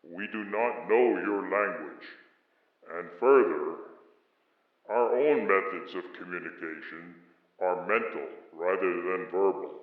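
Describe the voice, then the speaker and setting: A man playing a towering alien envoy in a radio play, speaking in formal, solemn, measured phrases. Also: low voice, phone-quality sound. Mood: measured, explanatory. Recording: echoey, band-limited processed sound.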